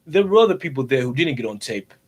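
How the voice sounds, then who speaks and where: Man acting policeman father, warm, patient, reassuring, conversational, varied intonation. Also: medium pitch, sing-song, quick pace, breathy edge. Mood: matter-of-fact. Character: kindly policeman father of a young boy, patient, dutiful.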